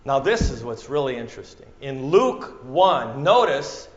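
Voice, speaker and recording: A man from central Minnesota with a Minnesota accent, preaching a sermon with dramatic swings from loud to hushed. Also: mid-range voice, sing-song, unhurried, a little hiss or hum. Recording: echoey room, muffled sound.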